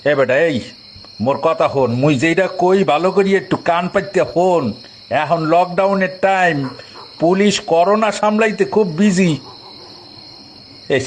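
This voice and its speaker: A man's voice: sits high, a little hiss or hum. A man playing a pompous, bullying policeman in an audio drama, with a Barisal accent and odd English words thrown in. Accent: Barisal regional Bangladeshi. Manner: hectoring, sprinkles in English words.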